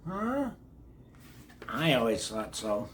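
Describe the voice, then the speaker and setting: A man's voice: medium pitch, huge pitch swings, slow pace, fairly bright tone, a little hiss or hum. An older man reading a children's book aloud in a folksy way, with chatty asides to his young listeners. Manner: folksy, addresses children directly, repeats words. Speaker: children's read-along host.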